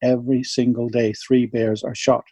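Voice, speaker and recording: A man from Denmark with a Danish accent, speaking English as a second language in an interview, measured and laying out his argument step by step with numbers. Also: mid-range voice, flat delivery, mellow, no echo. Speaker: interviewee, wildlife advocate. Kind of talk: earnest explanatory interview talk.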